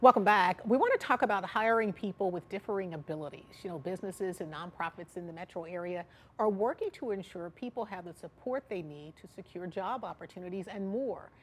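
A woman's voice: medium pitch, a little fast, husky. A woman hosting a broadcast interview, speaking in an encouraging, affirming way. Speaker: talk show host.

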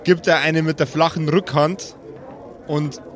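A man's voice: a little high, steady tone, a little slow, some chatter behind. A man narrating and refereeing an improvised tabletop roleplaying game as game master.

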